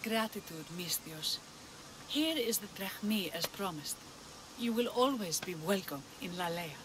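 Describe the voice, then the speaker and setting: A woman's voice: mid-range voice, animated, measured pace, background noise, lightly acted. A woman playing an earnest, cautious leader of an ancient Greek village in a video game cutscene. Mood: grateful. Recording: loud music behind the voice.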